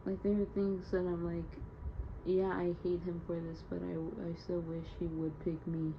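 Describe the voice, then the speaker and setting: A young woman with a slightly low voice talks as if to a private diary. She rambles casually and candidly in slangy phrases, sounding wounded and downcast. The recording is a bit muffled and lo-fi, with faint noise behind it. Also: level tone, normal speed. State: emotionally hurt, downcast. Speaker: audio diarist. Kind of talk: casual confessional audio diary.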